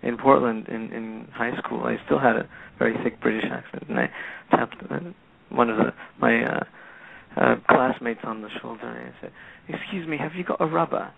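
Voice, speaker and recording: A middle-aged man from Peru whose first language is Spanish talks as a guest on a radio show. His voice is gravelly and sits at an average pitch. It comes through a thin, phone-like line, and he pauses and repeats words as he gathers his thoughts. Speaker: musician guest. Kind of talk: casual interview chat.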